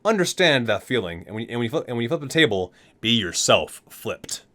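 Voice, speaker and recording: A man reading item descriptions aloud as an ASMR host, with deliberate, dramatic emphasis. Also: medium pitch, big pitch swings, bright, forceful tone.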